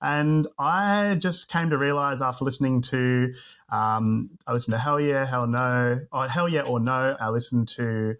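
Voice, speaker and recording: A man's voice: medium pitch, measured pace, fairly bright tone. A man hosting a podcast interview, chatty and friendly, laughing often. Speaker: podcast host. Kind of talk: casual interview.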